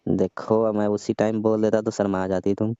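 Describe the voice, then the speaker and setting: Man acting as probing young male cousin, mellow mid-low voice, insistent, teasing, phone drama, dry dull audio. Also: little pitch movement, normal speed, natural voice. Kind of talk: casual staged phone-call dialogue. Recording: dull lo-fi call-style audio.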